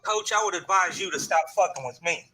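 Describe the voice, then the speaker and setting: A man's voice: high-pitched, brisk, roomy sound, a crowd in the background. A man in his forties who swears a lot and leaves a recorded rant, threatening in a mocking way. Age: 40s. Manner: profane, aggressive, mock-threatening. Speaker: caller leaving a recorded rant. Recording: distant mic with faint noise.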